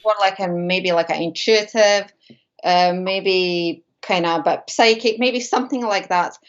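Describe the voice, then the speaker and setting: A woman's voice: on the low side, a little slow. Latvian woman on a lo-fi call. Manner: hesitant, many fillers and restarts. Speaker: guest medium and shamanic practitioner. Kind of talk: casual interview chat. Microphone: video call.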